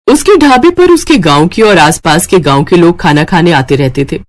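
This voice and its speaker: A woman narrating a scripted story in an expressive storytelling manner. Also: fairly low pitch, normal speed, dry recording, clean studio sound.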